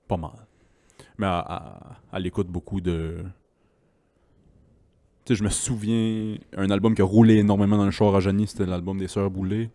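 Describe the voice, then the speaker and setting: Laid-back Québécois male co-host, deep voice, quick to laugh, dry close mic. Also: fairly even intonation, dark tone, dry recording. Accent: Québécois French. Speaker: podcast co-host. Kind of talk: casual chat.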